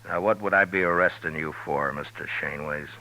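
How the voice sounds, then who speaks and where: An actor playing a steady, stern middle-aged frontier marshal in a 1950s radio drama, calm, firm and measured. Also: male voice, rumbling low. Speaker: lead and narrator. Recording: dull old radio broadcast with music.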